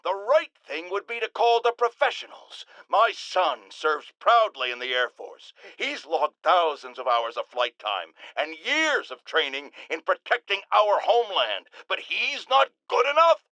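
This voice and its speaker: Video game radio ranter: irascible middle-aged man, high forceful delivery, bombastic, theatrical, always indignant at callers and listeners. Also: sing-song, measured pace, hardly any pauses, dry recording, full character voice, low-quality recording. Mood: indignant, impatient. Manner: theatrical, indignant, sarcastic, emphatic. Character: blustering, irascible middle-aged male tabloid radio host. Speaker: call-in radio show host. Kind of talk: bombastic talk-radio ranting.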